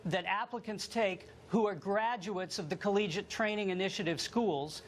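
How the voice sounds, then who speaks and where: An American man giving formal explanations as an interviewed government lawyer, in a measured, careful, sometimes halting manner. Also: high voice, slight background noise.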